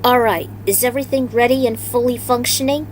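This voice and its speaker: A woman's voice: on the high side, a little fast, pitched above the performer's own voice, close to an everyday voice. Woman reading a tough female general, faint noise. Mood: tenacious, frank. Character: tough, tenacious female military general, pregnant.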